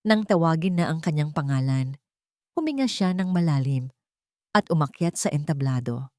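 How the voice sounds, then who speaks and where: A woman's voice: deep voice, expressive, normal speed, a little mellow, dry recording, studio quality. A woman reading a scripted dramatic story as narrator.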